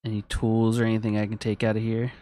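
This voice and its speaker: A man's voice: lowish voice, completely flat delivery, fast talker, mellow, no room sound. Streamer man thinking aloud while playing. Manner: thinks aloud, chats to viewers, reads on-screen text. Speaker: gaming streamer. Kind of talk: casual let's-play commentary.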